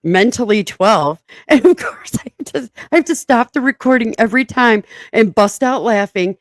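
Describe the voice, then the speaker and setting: A woman's voice: fairly high pitch, lively intonation, normal speed, no echo, studio quality. A woman with a smoky voice chatting casually as a podcast host, self-mocking and laughing often. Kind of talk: casual chat.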